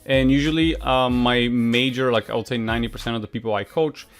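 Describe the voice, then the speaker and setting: A man's voice: mid-range voice, steady tone, brisk, clean tone, soft background music. A Bulgarian man, a poker coach, answering viewer questions in a frank, casual way with many filler sounds; his first language is Bulgarian. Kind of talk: casual Q&A monologue.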